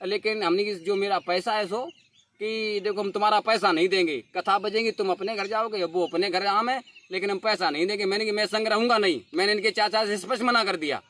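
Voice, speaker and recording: A man's voice: high voice, steady tone, brisk, fairly bright tone. A young man, talking insistently and aggrieved as he defends himself to listeners. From Uttar Pradesh, India. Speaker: dholak player defending himself. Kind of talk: emotional self-recorded statement.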